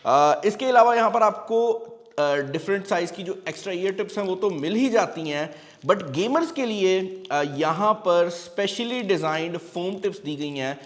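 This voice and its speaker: Male Pakistani tech reviewer, high voice, conversational unboxing delivery with English mixed in, reverberant room. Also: expressive, measured pace. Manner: conversational, mixes English phrases into speech. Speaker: tech reviewer and channel host. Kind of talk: product review and unboxing, casual explaining to viewers. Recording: long reverberant room echo.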